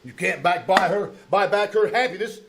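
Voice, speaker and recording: A man's voice: high voice, normal speed, gravelly, noisy background. A man playing a grumpy, rueful fellow in 1940s radio drama style. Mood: rueful, gruff. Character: Mr. Wimple, grumpy rueful man splitting kindling.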